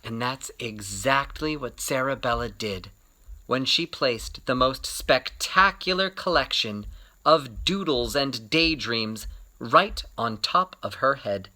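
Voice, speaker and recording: A man telling a children's picture-book story as a read-aloud, expressive and varied in his emphasis. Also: medium pitch, slow pace.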